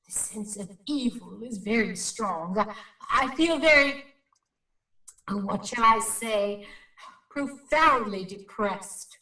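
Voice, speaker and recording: A woman's voice: mid-range voice, animated, slow pace, pushed and bright, exaggerated voice. A woman playing a solemn, self-important paranormal investigator, reporting in formal, precise phrases in a radio play. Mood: breathless, grave. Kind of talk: radio drama acting. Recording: roomy, slightly distant microphone.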